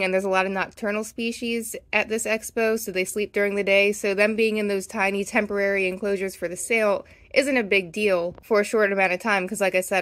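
A woman's voice: medium pitch, a bit bright. A woman narrating an informational hobby vlog as its host, giving practical advice in voice-over.

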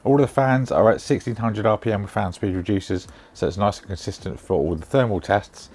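A young man reviews hardware in a quick, chatty flow, rattling through numbers and specs. His voice sits fairly low and has a gravelly fry. He speaks fast, as if talking to viewers from his home studio. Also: a little mellow. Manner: brisk, chatty, spec-heavy explaining. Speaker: tech reviewer. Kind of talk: product review to camera. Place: indoor home studio with shelves.

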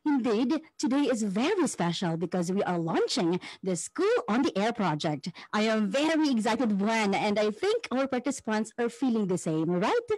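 A woman's voice: on the high side, very animated, moderate pace, few pauses, darkish tone, no echo, poor audio. A woman with a Filipino accent co-hosting a radio program in a scripted presenting style.

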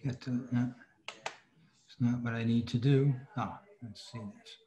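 Halting man presenting in an echoey room. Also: medium pitch, steady tone, dark tone. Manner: halting, hesitant. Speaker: club presenter. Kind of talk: halting informal lecture over slides. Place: indoors on a video call. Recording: roomy video-call audio.

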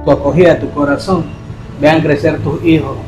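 A young man playing a poor young worker who is soft-spoken and tearful, in a staged charity video. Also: a little high, fairly even intonation, lightly acted. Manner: quiet, halting, emotional. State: crying. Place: indoor room. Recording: echoey room with music behind.

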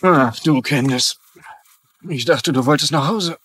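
Detached, evasive playboy. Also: male voice, medium pitch, a little slow, a bit bright, breathy edge. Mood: placating. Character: evasive, twice-divorced male playboy stockbroker. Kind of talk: German-dubbed TV drama acting.

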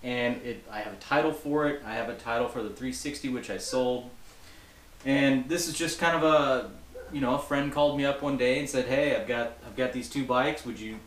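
A man's voice: mid-range voice, normal speed, slight background noise. Casual young man in an echoey garage. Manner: relaxed and rambling, many restarts. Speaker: motorcycle restoration vlogger. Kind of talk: casual chat to camera. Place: home garage, indoors. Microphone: camera-mounted mic at a distance.

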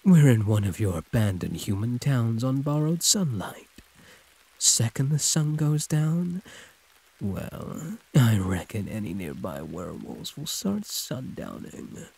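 A hulking male werewolf, played by a man, purrs possessively in an intimate audio roleplay. His voice is extremely husky, with swooping pitch and an unhurried drawl. He teases like a predator toying with prey. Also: sing-song, warm tone, exaggerated voice, low-quality recording. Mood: urgent, protective. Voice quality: husky, breathy. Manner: drawling, teasing pet names, predatory purr. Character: possessive, growling male werewolf prisoner, huge and teasing.

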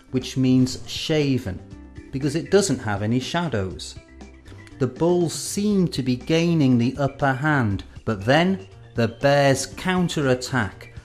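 Measured male instructional presenter over music. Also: medium pitch, darkish tone. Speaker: presenter of an educational film. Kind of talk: scripted instructional narration. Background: background music.

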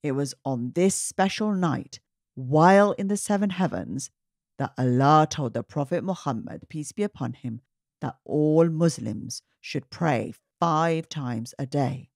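A woman reading a children's story aloud in an expressive, emphatic narrating style. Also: sits low, measured pace, dry recording, studio quality. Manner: animated, emphatic, clearly paced delivery. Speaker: children's story reader and host.

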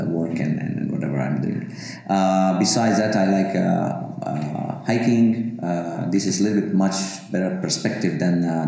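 A man's voice: low voice, gravelly, warm tone. A Bosnian-born man in his forties, a university professor with Bosnian as his first language, answering interview questions to camera with many fillers and restarts. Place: office with bookshelves, indoors. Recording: very echoey office room.

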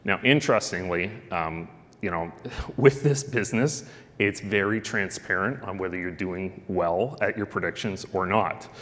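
A man's voice: deep voice, a little slow, fairly bright tone, in a large hall. A middle-aged man giving a conference talk at a lectern, conversational, with frequent ums and uhs. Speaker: keynote speaker on sports analytics. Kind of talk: conference presentation. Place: large conference hall, at a lectern. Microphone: lectern microphone.

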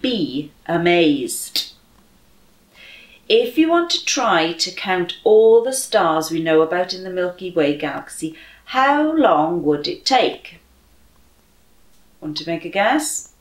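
A woman in her thirties reading aloud and praying for children with deliberate, expressive phrasing. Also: on the low side. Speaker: children's church story reader. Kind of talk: slow expressive read-aloud and prayer for children. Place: bright room at home by a window, indoors. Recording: roomy echo.

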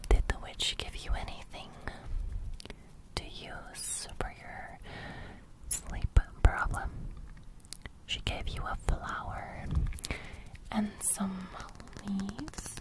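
A gentle young sleep fairy, played by a woman in an ASMR roleplay, speaking in a breathy whisper. She goes slowly and repeats herself softly, soothing and reassuring, right up close to the mic. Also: mid-range voice, poor audio. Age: young adult. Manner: slow, repetitive, reassuring murmuring. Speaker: ASMR creator. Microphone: close studio microphone.

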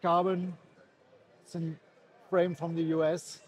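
Shop staff, man, slightly high voice, calm explainer, expo hall. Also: measured pace. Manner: calm, informative, a few fillers. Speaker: bike shop staff, guest. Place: busy indoor bike expo hall.

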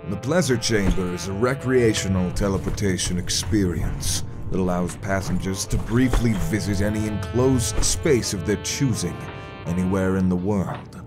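An actor voicing a smug elf wizard train attendant with a soft, sensuous voice, in a comic dub. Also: male voice, sits low, normal speed, music in the background, close to an everyday voice. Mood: sly. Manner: sly, suggestive.

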